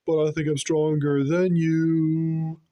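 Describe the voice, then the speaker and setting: A man's voice: a little high, level tone, slow, muffled recording. A man singing as a vengeful, determined guardian from a video game, in a rhythmic, defiant manner. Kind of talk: sung video game character song.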